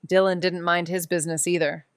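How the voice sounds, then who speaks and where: A woman's voice: lowish voice, little pitch movement, fairly quick, clean tone, no echo, studio quality, close to an everyday voice. A woman playing a wry, blunt amateur sleuth in a noir audio drama, casual and sardonic in manner. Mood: insistent.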